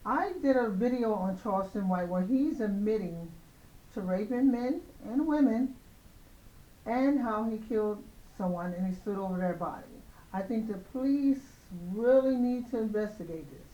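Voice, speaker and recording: Slightly hoarse, mellow woman host in echoey room. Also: mid-range voice, lively intonation, normal speed. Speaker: host of a commentary show. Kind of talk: casual opinion commentary on celebrity news. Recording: roomy, echoing, dull lo-fi sound.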